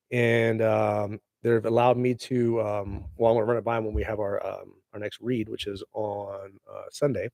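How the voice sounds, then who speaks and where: Gravelly, mellow-voiced man chatting to viewers. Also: lowish voice, no room sound. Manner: laid-back, chatty, slangy, hypes his news. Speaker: actor hosting his own show. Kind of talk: casual chat to viewers. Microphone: handheld mic held close to the face.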